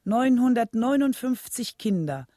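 A woman's voice: fairly high pitch, animated, measured pace, dark tone, dry recording, clean studio sound. A woman narrating a scripted documentary radio drama.

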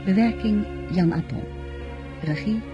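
A man's voice: high-pitched, measured pace. A man formally announcing a radio drama as its presenter. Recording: dull audio under loud music.

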